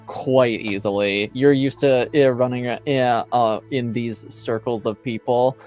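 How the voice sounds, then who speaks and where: A man running a tabletop game as game master, narrating haltingly with many ums and uhs. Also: mid-range voice, fairly even intonation, a little mellow, soft background music.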